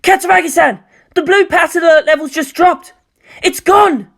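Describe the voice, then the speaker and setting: A jittery young man at a military console, voiced by a woman, pitched high and speaking in urgent bursts. He is earnest and panicky, in the style of a dramatic audiobook. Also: fairly bright tone. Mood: panicked. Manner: hurried, urgent reporting. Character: panicky, earnest young male command-center officer. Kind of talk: dramatic audiobook character acting.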